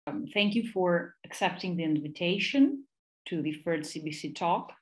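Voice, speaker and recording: Halting Russian-accented woman lecturer, lowish voice. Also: low-quality recording. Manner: halting, searching for words with frequent repetitions. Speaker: academic researcher and lecturer. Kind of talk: academic presentation.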